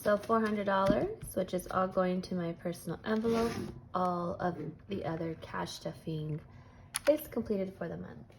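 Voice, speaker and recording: A hoarse woman with a gravelly, raspy voice talks casually as she counts bills aloud in a steady rhythm. Her pitch sits in an ordinary middle range. The recording carries clear background noise. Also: moderate pace. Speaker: budgeting vlogger. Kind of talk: casual chat while counting cash. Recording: noisy home recording.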